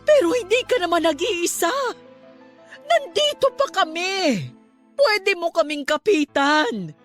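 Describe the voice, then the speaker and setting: A woman's voice: very high voice, big pitch swings, slow, faint music behind, exaggerated voice. A woman playing a stern, devout, emphatic aunt in a Tagalog radio drama. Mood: consoling, earnest. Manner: emphatic, emotional, scolding and pleading. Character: stern, devout aunt scolding then comforting her nephew.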